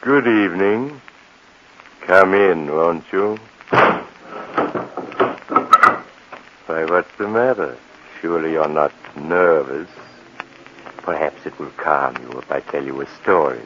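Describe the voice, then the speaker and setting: A creepy, suave storyteller with an extremely deep voice, hosting a 1940s radio horror show. He is theatrical and deliberate, with sinister politeness, over a dull, noisy vintage recording. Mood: sinister welcome. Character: creepy, suave male storyteller host of eerie mystery tales. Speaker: host and narrator.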